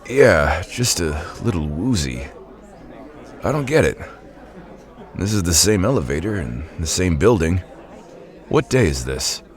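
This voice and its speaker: Very deep, slow-talking streetwise thief. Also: male voice, very animated, a faint crowd. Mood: dazed, confused. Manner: casual, wry, informal. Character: streetwise reformed thief, smooth-talking, weary, wry. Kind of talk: radio drama acting and first-person narration.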